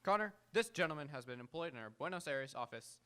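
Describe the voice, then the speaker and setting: A man acts a grandiose magazine publisher in radio theatre. The voice is fairly high and forceful, pompous and clipped, full of command. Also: animated, quick pace, slight background noise. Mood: bossy, businesslike. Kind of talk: live radio drama acting. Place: auditorium stage, live radio theatre.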